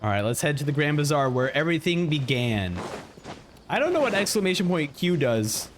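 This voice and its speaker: A man giving live reaction commentary as a game streamer, excitable and effusive, often repeating his phrases. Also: on the high side, a little fast, slight background noise.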